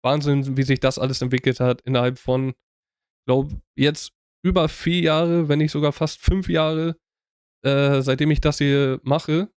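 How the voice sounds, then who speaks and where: A young man speaks straight to his viewers in a loose, rambling way, full of fillers, restarts and relaxed slang. His voice is smooth and resonant and sits at an ordinary pitch. The sound is close and dry. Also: unhurried, no echo. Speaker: YouTube reaction channel host. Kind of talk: casual talk to viewers.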